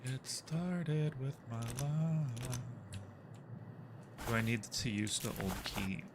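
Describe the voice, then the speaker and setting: Male streamer host: mid-pitched, casual, playful game commentary, loud background noise. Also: animated, slow pace. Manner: playful, joking asides to viewers.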